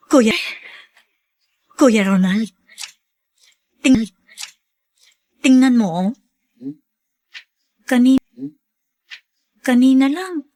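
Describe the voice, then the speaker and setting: A woman playing a concerned, soft-hearted younger sister in a Filipino radio drama, with a Filipino accent. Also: medium pitch.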